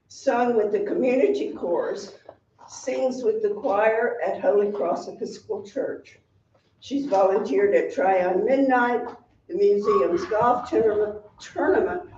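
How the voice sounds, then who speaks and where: A woman presenting an award at a town meeting, reading prepared remarks in a measured, formal way. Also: mid-range voice, dark tone, slight background noise. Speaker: hall of fame president presenting an award. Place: town hall meeting room. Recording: echoey hall, distant, dull sound.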